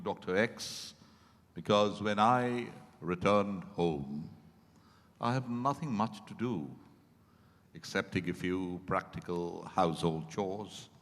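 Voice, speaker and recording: An actor playing a lonely, wistful ageing man in a halting, theatrical stage reading. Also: on the low side, fairly bright tone. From India. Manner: halting, theatrical, repeats phrases for weight. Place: event hall at a hotel. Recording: echoey hall with long reverb.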